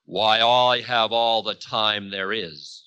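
A man's voice: on the low side, slow pace, bright, forceful tone, smooth, dry recording. A man voicing a mocking, fearless demon king who makes scornful, grandiose declarations in a mythological story.

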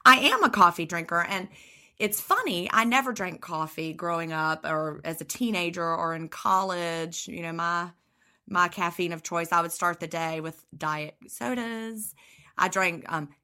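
A woman co-hosting a podcast, a teacher whose voice is slightly hoarse, talking in a friendly, chatty way with some restarts. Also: fairly low pitch, lively intonation, unhurried, pushed and bright. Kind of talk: casual podcast chat.

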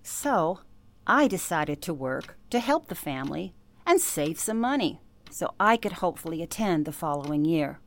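An actress playing a composed, politely firm elderly former telephone operator in a radio drama, with measured, formal phrasing. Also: female voice, low-pitched, big pitch swings. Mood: reflective. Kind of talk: radio drama interview acting.

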